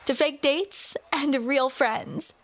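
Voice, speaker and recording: A woman performing a romantic audio roleplay, her voice sitting a little high and her delivery unhurried. She speaks close to the microphone and teases playfully in a scripted, intimate way. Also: low-quality recording. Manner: playful, teasing banter.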